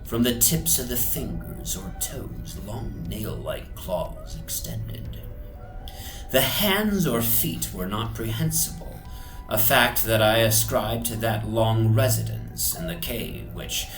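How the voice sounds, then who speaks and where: A man narrates a horror tale in a theatrical, suspenseful manner. He takes his time with each phrase, often sinking into breathy whispers. His voice rings in a reverberant space, with music underneath. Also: on the low side, animated, takes it slowly. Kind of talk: dramatic horror story reading. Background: music soundscape.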